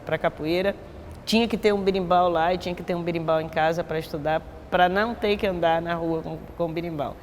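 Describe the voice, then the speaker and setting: A woman's voice: mid-range voice, long reverb tail, a little hiss or hum. A woman in her sixties with a Bahian accent, a capoeira master speaking reflectively in an interview and often ending with a tag question. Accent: Bahian Portuguese. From Bahia, Brazil. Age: 60s. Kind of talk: recorded interview testimony.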